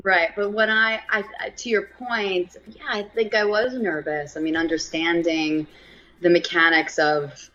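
A woman's voice: on the low side, fairly even intonation, a little slow, bright, forceful tone. A woman in her thirties with a heavy New York accent, chatting as an interview guest, often laughing and using fillers. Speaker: actress guest. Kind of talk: casual interview chat. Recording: muffled lo-fi audio.

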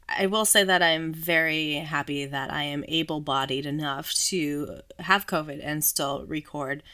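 A woman with a notably low voice hosts her solo podcast while sick, her throat a little hoarse. She chats casually and pokes fun at herself with frequent small laughs. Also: fairly bright tone. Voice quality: slightly hoarse. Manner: chatty, self-deprecating, laughs often. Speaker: podcast host.

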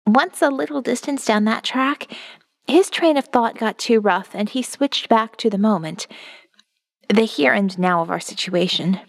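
A woman performs a weary female survivor narrating her diary for an audio novel. Her voice sits fairly high, wry and watchful. A long reverb trails her words, as in a hall. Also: measured pace, gravelly. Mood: uneasy, sober.

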